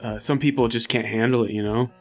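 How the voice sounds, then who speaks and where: A man's voice: medium pitch, steady tone, fast talker, soft background music. A man playing a tabletop RPG, improvising casually in character in a hesitant, rambling way. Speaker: player in a tabletop RPG podcast.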